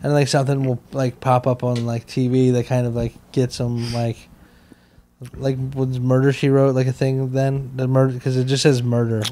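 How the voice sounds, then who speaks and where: A man's voice: mid-range voice, little pitch movement, moderate pace, dark tone. An American man co-hosting a casual comedy podcast, joking in rambling, like-filled sentences on a handheld mic. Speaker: podcast co-host. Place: small wood-panelled room, indoors. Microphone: handheld microphone held close.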